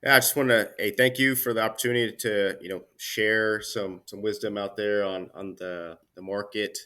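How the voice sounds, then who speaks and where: Guy in his 30s, deep but bright voice, laid back, lots of uhs. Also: normal speed. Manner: relaxed, many fillers and restarts. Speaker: Army career manager guest. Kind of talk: casual interview chat.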